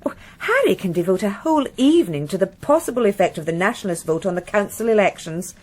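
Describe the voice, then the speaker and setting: Husky, wistful society wife, played by a woman. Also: mid-range voice, expressive, fast talker, lightly acted. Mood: wistful, confiding. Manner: confiding, wistful. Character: wistful, sociable, bored titled wife of older man. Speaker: actress. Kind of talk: radio drama acting.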